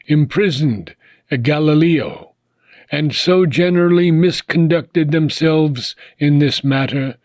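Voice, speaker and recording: Guy reading a philosophy audiobook, really slow n solemn, lots of pauses, medium pitch, dry sound. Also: darkish tone, no room sound. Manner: deliberate, solemn, with frequent pauses between phrases. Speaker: audiobook reader. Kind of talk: measured reading of a philosophical book.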